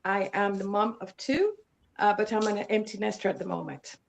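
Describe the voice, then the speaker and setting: A woman from Saint Vincent giving a short, unhurried introduction on a video call. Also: medium pitch, animated, vocal fry. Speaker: panel guest, accountant. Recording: dull lo-fi online call audio.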